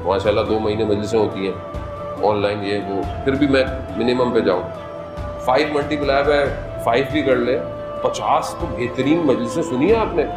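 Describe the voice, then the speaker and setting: Male cleric, mid-pitched, conversational sermon that climbs into passion; reverberant hall, music bed. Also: fairly even intonation, moderate pace. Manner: conversational preaching that rises to impassioned, high-pitched appeals. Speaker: Shia cleric. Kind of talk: majlis sermon. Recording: echoey hall with music underneath.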